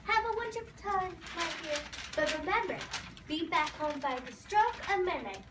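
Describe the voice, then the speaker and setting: A woman playing a kindly, reassuring insect fairy godmother in a readers theater show, gentle and grand. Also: very high voice, lively intonation, normal speed. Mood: cautioning. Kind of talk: readers theater stage performance. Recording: noisy, echoey hall from far off.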